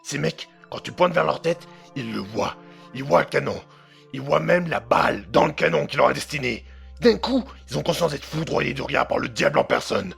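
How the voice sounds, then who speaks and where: A cynical gangster hitman played by a male actor in an audio drama, his voice very gravelly and full of fry. He is crude, slangy and sardonic, always ready to sneer. Music plays faintly underneath. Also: on the high side, expressive, normal speed, fairly bright tone, full character voice. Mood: scornful. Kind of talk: French audio drama acting.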